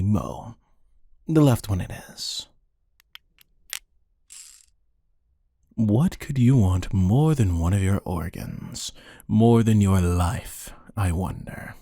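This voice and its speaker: A man acts out a cold-blooded killer interrogating a captive in an ASMR roleplay. His voice is deep and mellow, with a slow, deadpan delivery. He taunts with dry, menacing amusement. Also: dry recording, exaggerated voice. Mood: playful threat. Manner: deadpan, taunting, unhurried with musing pauses. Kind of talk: scripted ASMR roleplay monologue.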